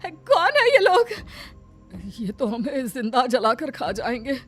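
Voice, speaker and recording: A woman's voice: sits high, very animated, slow pace, soft background music, voice pushed up, exaggerated voice. Woman voicing a grumbling older mother-in-law. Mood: panicked, bitter. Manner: exclaiming, complaining.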